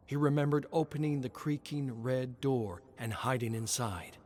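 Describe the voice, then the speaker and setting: A man's voice: mid-range voice, moderate pace, darkish tone, a little hiss or hum. A man reading a scripted horror story as its narrator.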